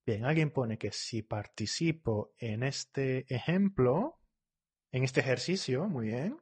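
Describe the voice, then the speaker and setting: Husky-voiced man teaching live. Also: mid-range voice, animated. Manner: talks quickly, often checks in with "¿vale?" and "¿eh?"; reads out and corrects viewers' comments. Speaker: Spanish teacher. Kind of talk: live online lesson.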